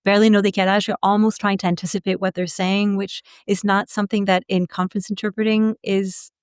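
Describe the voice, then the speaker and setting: A woman's voice: medium pitch, flat delivery, a little fast, clear, resonant tone, dry recording, clean studio sound. A woman telling stories casually as an interview guest, candid and chatty.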